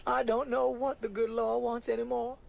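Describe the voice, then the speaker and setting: A man voicing a frightened, grieving older church woman, high and breaking with tears. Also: level tone, normal speed, gravelly, heavily acted. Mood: tearful.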